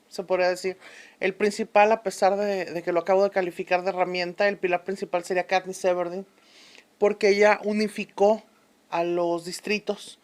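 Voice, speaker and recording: A woman playing an authoritative, unsentimental historian interviewed in a radio drama, explaining events in a measured, deliberate way. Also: mid-range voice, a little mellow, close to an everyday voice.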